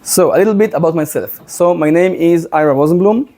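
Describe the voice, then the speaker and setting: A thirty-year-old man with an Israeli accent presents as a guest speaker. His voice sits somewhat high for a man, with a soft, mellow tone. He explains things earnestly, pausing often to find his words. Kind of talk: public presentation to a student club.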